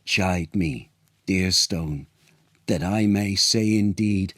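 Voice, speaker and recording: Man acting an awestruck, slow-spoken storybook king. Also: sits low, fairly bright tone, no echo, clean studio sound. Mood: hushed wonder. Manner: theatrical, drawn-out, reverent. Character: emotional, awestruck king from a children's Shakespeare tale.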